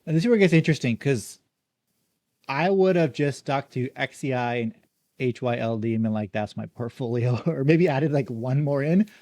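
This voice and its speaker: A man hosting a casual podcast interview, upbeat and quick, often saying "like" and "uh". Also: mid-range voice, darkish tone, dry recording. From Canada. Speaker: podcast host.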